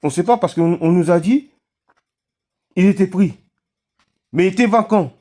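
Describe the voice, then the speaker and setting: A man chatting casually at home, telling a story in a halting, hesitant way. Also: high-pitched, dark tone. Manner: hesitant, halting, many fillers. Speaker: vlogger.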